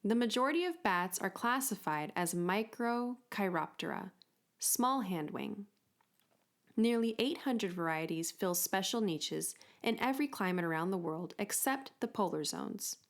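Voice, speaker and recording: A woman reading a children's book aloud as an expressive storytime narrator. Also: mid-range voice, a little slow.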